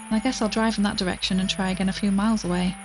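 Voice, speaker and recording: A woman's voice: mid-range voice, fairly even intonation, very fast, over music, lightly acted. An actress in an audio drama playing an exhausted, anxious but determined woman who is recording a spoken log. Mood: tired, uneasy. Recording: clearly noisy outdoor recording with crickets.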